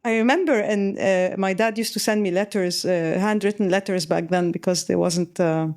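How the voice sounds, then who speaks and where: Animated woman host with ready laughs. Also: medium pitch, normal speed. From Lebanon. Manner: animated, laughs often while storytelling. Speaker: podcast host. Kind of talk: conversational interview.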